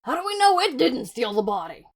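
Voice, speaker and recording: Woman voicing a fierce, grumpy, animated monster woman. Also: high-pitched, brisk, voice pushed up, full character voice. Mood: angry, accusing. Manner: gruff, accusing, shouting. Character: hot-tempered, grumpy female monster detective partner with fangs.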